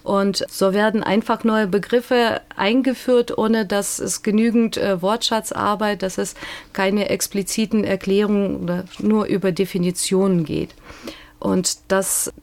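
A woman's voice: medium pitch, steady tone, a little mellow. Woman expert explaining steadily. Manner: measured, explains with occasional hesitations. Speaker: expert guest. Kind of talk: explanatory discussion.

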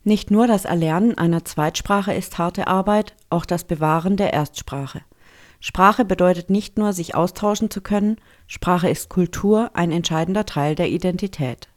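A reporter reads a scripted feature narration in a measured, formal way. The voice sits in a middle pitch and keeps an even, composed delivery. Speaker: radio reporter.

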